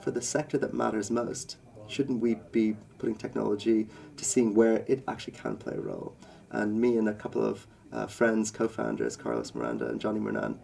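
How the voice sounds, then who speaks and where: Interview guest: man, low and gravelly, mellow tone, earnest, talks in lists with ums. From India. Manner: earnest, many fillers and list-like phrasing. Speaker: interviewee, company co-founder. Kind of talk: conversational interview answers.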